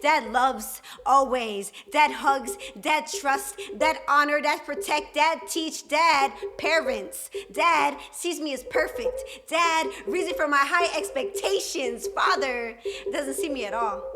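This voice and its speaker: A young woman performing spoken word poetry at a live open mic, with a rhythmic, emphatic delivery and dramatic pauses. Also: sits high, pushed and bright, soft background music. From Puerto Rican and Dominican heritage.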